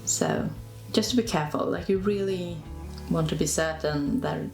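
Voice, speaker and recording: A Swedish woman with a somewhat low voice talks in a relaxed, chatty way, with a Swedish accent and plenty of "like" fillers. Her voice rings in an echoey room, with faint music underneath. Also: moderate pace. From Sweden. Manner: chatty, fillers of "like", self-deprecating. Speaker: vlogger. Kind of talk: casual show-and-tell narration.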